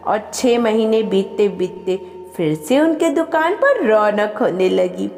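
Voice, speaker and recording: A woman reading a scripted, emotional family story as an expressive storyteller. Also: on the high side. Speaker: storyteller and channel host. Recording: long reverb with music behind. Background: background music.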